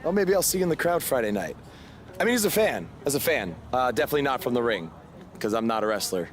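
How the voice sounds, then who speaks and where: A man's voice: mid-range voice, very animated, fast talker, natural voice. A man playing a humble, eager young indie wrestler in a video game cutscene, hesitant and self-effacing. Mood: awkward, bashful.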